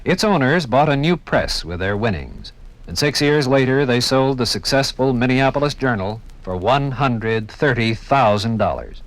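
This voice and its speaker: A man's voice: mid-range voice, lively intonation, normal speed. A man narrating a scripted story wrap-up in 1950s radio style. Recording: noisy 1950s recording with clicks.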